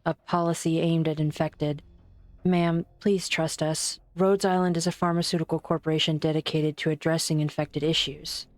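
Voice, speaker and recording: A woman playing an earnest, composed doctor and researcher in an amateur game fan dub, polite, measured and sincere. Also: sits low, level tone, dry recording, natural voice.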